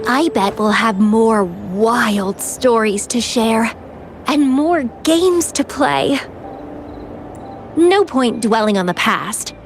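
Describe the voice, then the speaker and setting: Playful, unhurried young enigmatic woman. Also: fairly high pitch, lively intonation. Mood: teasing, tender. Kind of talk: video game character acting. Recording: music behind the voice.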